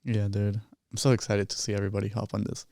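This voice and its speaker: A man's voice: fairly low pitch, little pitch movement, quick pace, vocal fry, mellow, no echo. A young man from Colombia hosting a casual podcast in informal, slangy talk on a close studio microphone. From Colombia, partly grew up in the United States. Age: young adult. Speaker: podcast host. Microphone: close boom-arm studio microphone.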